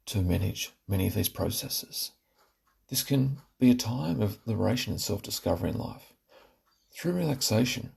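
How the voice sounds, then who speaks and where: A man narrating a scripted guided relaxation in a soothing, deliberate, instructional way, with a gravelly voice. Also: on the low side, darkish tone.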